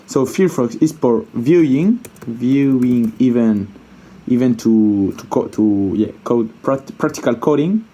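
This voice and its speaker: Mellow man thinking aloud through a tutorial. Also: medium pitch, unhurried, muffled recording. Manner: informal, hesitant thinking aloud, repeats himself, mild swearing. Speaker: tutorial presenter. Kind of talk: casual unscripted screen-share coding tutorial.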